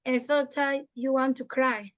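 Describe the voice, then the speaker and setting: A woman's voice: high-pitched, steady tone, moderate pace, a bit bright. A woman in her twenties from Peru, hosting a casual English practice conversation in learner English, earnest and encouraging. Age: 20s. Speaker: conversation host.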